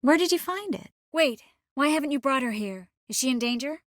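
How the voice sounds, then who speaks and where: A woman voicing an earnest, tender, quick-witted young sorceress in dramatic fantasy video game dialogue. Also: high-pitched, lively intonation, no room sound, clean studio sound. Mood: surprised.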